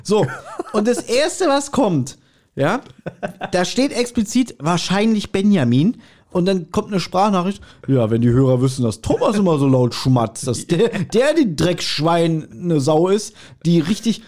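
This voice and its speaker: A man's voice: high-pitched, very animated, a little slow, darkish tone. A man of around forty co-hosting a podcast in casual chat, telling stories in a teasing, mock-indignant way. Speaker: podcast co-host.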